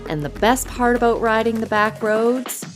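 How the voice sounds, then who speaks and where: A woman's voice: fairly high pitch, flat delivery, normal speed, music in the background. A woman vlogger speaking in a chatty, enthusiastic way, casually reviewing motorcycle gear and narrating rides.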